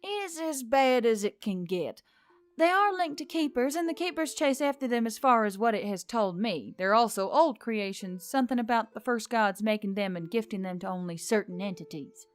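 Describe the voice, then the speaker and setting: A woman voicing a stubborn, sharp-witted young heroine in a fantasy audiobook, with a probing, sarcastic manner. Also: a little high, expressive, normal speed, close to an everyday voice. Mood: serious.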